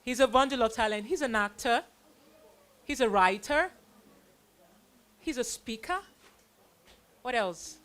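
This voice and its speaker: A middle-aged woman hosting children on stage, giving an informal, animated vote of thanks and speaking to an audience. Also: a little high, unhurried, long pauses. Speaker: host presenting child performers of a church play.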